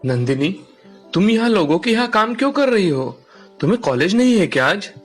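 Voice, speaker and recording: A man playing a humble, caring village father in a children's animated story, earnest and gentle in manner. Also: high-pitched, brisk, soft background music. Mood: affectionate.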